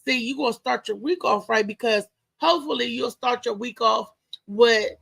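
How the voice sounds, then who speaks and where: Gravelly, bright-voiced woman hosting live. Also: a little high, normal speed. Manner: lively, loud and exclamatory, chatty with fillers. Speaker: live show host and comedian. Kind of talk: casual live-stream chat and promotion.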